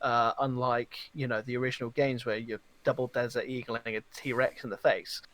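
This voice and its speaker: A man in a casual podcast chat, talking in a jokey, slightly halting way, close on the microphone. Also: mid-range voice, flat delivery, background noise. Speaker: podcast co-host. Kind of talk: casual chat about films and games.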